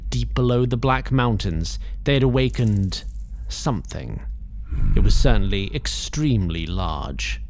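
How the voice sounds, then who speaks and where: A man's voice: fairly low pitch, animated, measured pace, a little hiss or hum. A man narrating an audio drama in a dry, wry storytelling manner.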